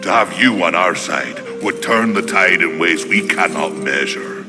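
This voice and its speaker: A gruff, kindly giant of a smith for a video game. His voice is very low and unhurried, earnest with a wry edge. It carries long reverb. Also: expressive. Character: gruff, good-hearted giant male maker, weapon smith. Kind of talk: dramatic video game voice acting. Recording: long reverb, loud music bed. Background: game music.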